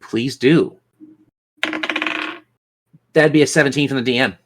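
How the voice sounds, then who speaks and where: A man's voice: mid-range voice, steady tone, measured pace, a bit bright. A man acting as game master, narrating and refereeing a tabletop fantasy game in colloquial language and speaking directly to his players.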